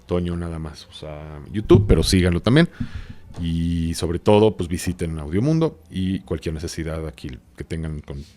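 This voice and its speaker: A man's voice: low voice, expressive, moderate pace, a little mellow. A man co-hosting a podcast in casual, conversational talk. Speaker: podcast co-host.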